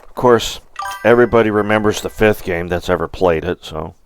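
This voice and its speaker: A middle-aged man hosting a gaming livestream, chatting casually in a rambling, halting way. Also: fairly low pitch, clean tone.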